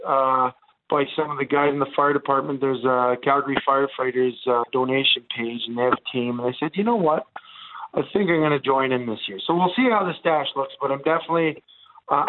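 A man in his forties from Montreal, whose first language is Italian, chatting on the radio with lots of fillers. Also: a little high. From Montreal, Canada. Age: 40s. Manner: chatty, rambling, many fillers. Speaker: radio guest, former football player. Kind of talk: casual radio chat. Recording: tinny phone line.

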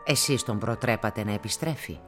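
A man's voice: fairly low pitch, soft background music, natural voice. A man acting as a persistent interviewer in a radio drama, asking brief, direct questions. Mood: probing. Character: probing, persistent, businesslike interviewer. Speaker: actor.

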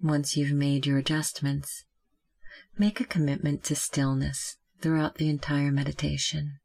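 Calm, even-toned meditation guide with creaky voice. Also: medium pitch. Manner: gentle, calm, measured with long pauses. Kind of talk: guided meditation, slow scripted instruction.

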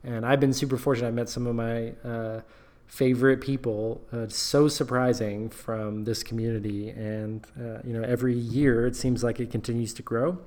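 An upbeat man hosting a podcast, talking at an ordinary middle pitch. His delivery is casual and encouraging, with lots of "you know" and "uh" as he chats with his guest. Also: flat delivery, normal speed, darkish tone. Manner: upbeat, encouraging, many fillers. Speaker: podcast host. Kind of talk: casual interview chat.

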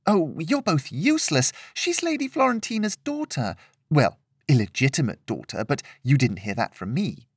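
A man's voice: mid-range voice, lively intonation, normal speed, breathy edge, no echo, clean studio sound. A man voicing a spoiled, chatty young noblewoman with an airy, gossipy manner, in a storybook reading. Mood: teasing exasperation.

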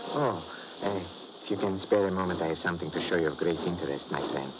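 A man's voice: low-pitched, fast, natural voice. An actor playing a furtive, over-polite little Hungarian underground man in a 1950s radio drama, hesitant and conspiratorial. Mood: hushed, furtive. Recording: noisy vintage 1950s radio broadcast.

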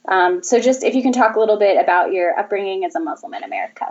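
A woman's voice: mid-range voice, expressive, fast, a little mellow. A young woman hosting a podcast, asking a guest eager, friendly questions about his life. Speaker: podcast host. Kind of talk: interview questions. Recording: dull, lo-fi remote audio.

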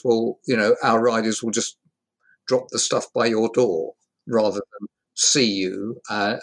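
Male guest, measured, thoughtful, pausing delivery. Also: lowish voice. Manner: measured, thoughtful, pauses with fillers. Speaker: hospitality industry guest expert. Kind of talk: conversational interview.

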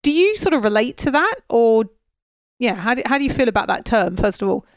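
A woman's voice: fairly high pitch, sing-song, brisk, dry recording, studio quality. A woman interviewing a guest, asking eager, stumbling questions. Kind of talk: conversational interview.

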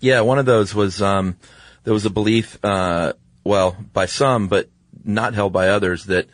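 A man's voice: lowish voice, a little slow, dry recording. A man co-hosting a podcast in an informal, easygoing, conversational style. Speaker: podcast co-host. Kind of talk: casual conversational podcast chat.

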